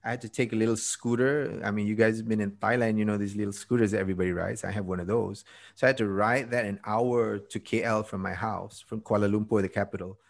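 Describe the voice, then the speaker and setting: A man's voice: on the low side, fairly even intonation, fast, creaky. A Malaysian man in his fifties, a podcast guest, telling his story in a casual way, laughing at himself and often trailing off. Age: 50s. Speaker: podcast guest, TED speaker. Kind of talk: conversational storytelling.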